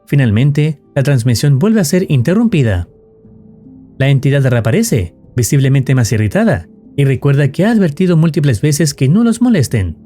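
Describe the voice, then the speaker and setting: A man's voice: mid-range voice, big pitch swings, moderate pace, mellow, soft background music. A man reading scripted narration in the role of a storyteller explaining a tale.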